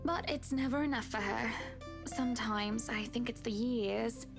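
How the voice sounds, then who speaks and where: A self-assured married woman in a visual novel, with a fairly high voice. She confides frankly and presses her point in a conversational way. Loud music plays behind her. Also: unhurried, gravelly. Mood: confiding, wistful. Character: candid married woman confiding about troubled relationship. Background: game music.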